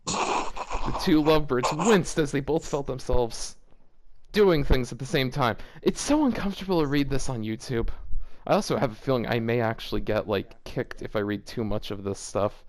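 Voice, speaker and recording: A man's voice: mid-range voice, moderate pace. A man hosting a casual read-aloud, often breaking off to comment in amused, exasperated disbelief. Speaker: host reading fan fiction aloud for a video.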